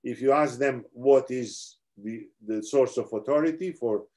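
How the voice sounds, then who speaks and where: A man's voice: medium pitch, a little slow, warm tone. A middle-aged man with a Serbian accent, giving a theology lecture over a video call in an earnest, explanatory way with frequent restarts. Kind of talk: online seminar lecture.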